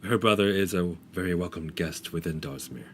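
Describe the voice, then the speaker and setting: Man playing a formal, low-voiced Dragonborn diplomat. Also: moderate pace, slight background noise, lightly acted. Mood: courteous, measured. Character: courteous Copper Dragonborn diplomat, dignified and welcoming.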